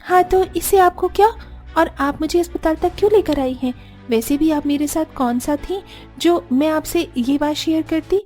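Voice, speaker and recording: A woman voicing a sharp-tongued young sister-in-law in a cartoon story, quick to argue. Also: high voice, soft background music, lightly acted. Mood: resentful. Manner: quick, argumentative, sulky. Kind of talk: cartoon voice acting.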